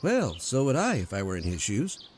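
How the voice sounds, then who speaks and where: A man's voice: mid-range voice, huge pitch swings, moderate pace, soft background music. An actor playing a breezy, blunt, wisecracking man in an old-time radio drama. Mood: blunt, challenging.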